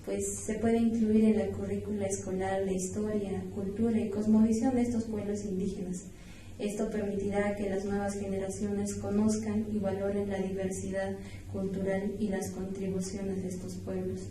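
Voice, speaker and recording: A woman's voice: mid-range voice, level tone, normal speed. A young woman with a southern Peruvian accent giving prepared, formal answers as an interview guest. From San Román province, Puno, Peru. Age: young adult. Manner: formal, recited. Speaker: guest, university student. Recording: echoey room, distant mic, faint noise.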